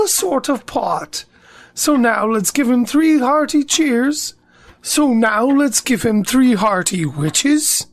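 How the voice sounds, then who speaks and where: A woman's voice: on the high side, full character voice. An actor, a woman, playing a naive, self-important, food-loving bear in a children's audio drama, musing in a hesitant singsong.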